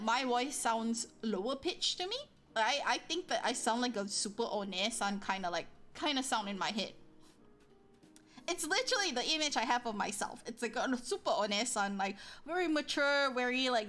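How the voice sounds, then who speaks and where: Female streamer, casual rambling chat, high-ish voice, self-deprecating, light music bed. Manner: rambling, lots of likes and ums, self-deprecating. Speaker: livestreamer reading chat. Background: soft background music.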